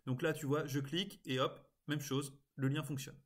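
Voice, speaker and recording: A man presenting an informal screen-recorded software tutorial, explaining step by step in a casual way with frequent little interjections. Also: on the high side, steady tone, moderate pace. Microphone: screen-recording voice-over.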